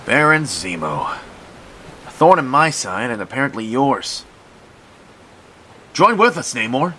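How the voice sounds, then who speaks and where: A noble captain and superhero, acted by a man, earnest and heartfelt, with a forceful, bright voice. His pitch moves freely, and faint hiss sits under this audio drama performance. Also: big pitch swings, unhurried. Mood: resolute, appealing.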